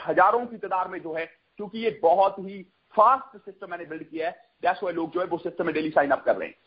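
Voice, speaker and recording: A man gives an emphatic, repetitive sales pitch on a conference call, mixing in English phrases and asking questions that he answers himself. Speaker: network marketing promoter hosting a conference call. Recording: dull, lo-fi conference call audio.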